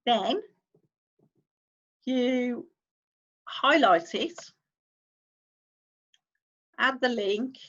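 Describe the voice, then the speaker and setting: A woman's voice: on the high side, very slow, long pauses, fairly bright tone, lo-fi sound. A woman, hosting a casual screen-share tutorial, chatting as she clicks through steps and reads on-screen text aloud. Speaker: online-income YouTuber host.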